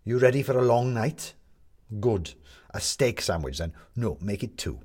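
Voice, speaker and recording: A man voicing a brisk, blunt and wry female newspaper reporter in an audiobook. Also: medium pitch, expressive, darkish tone, slightly rough, pitched above the performer's own voice.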